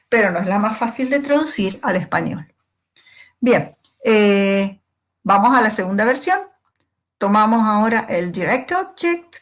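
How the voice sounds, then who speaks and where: A woman teacher giving a step-by-step grammar lesson in a didactic way, posing questions and answering them herself. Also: mid-range voice. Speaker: English teacher.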